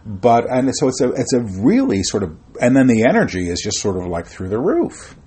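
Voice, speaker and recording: A man's voice: medium pitch, wildly swooping pitch, brisk, dark tone, slightly rough. A middle-aged man talking as an interview guest about his spiritual work, rambling with frequent fillers and restarts. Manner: self-described shy and reserved. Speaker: guest, spiritual channeler and author. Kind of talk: conversational interview.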